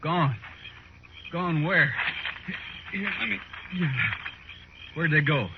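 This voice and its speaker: An American man playing a desperate, groggy renegade outlaw, halting and agitated, in 1950s radio western style. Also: on the high side. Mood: panicked, pleading. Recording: muffled, slightly noisy 1950s radio recording.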